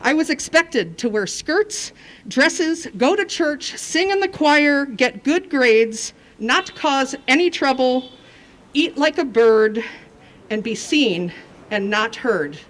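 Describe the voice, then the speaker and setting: A woman's voice: high voice, animated, slow pace. A woman in her fifties from New Hampshire, telling her personal story in an earnest speech at a rally. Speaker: rally speaker.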